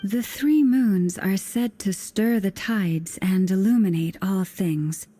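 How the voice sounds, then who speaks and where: A slow, husky, serene sage. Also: female voice, mid-range voice. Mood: contemplative. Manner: slow, measured, hushed. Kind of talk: video game voice acting.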